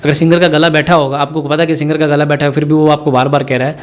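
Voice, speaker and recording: Guy rattling off sound gear tips fast, kinda high voice, chatty tutorial. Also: level tone. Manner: rambling, explanatory, addresses viewers as friends. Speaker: musician teaching sound setup. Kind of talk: spoken tutorial.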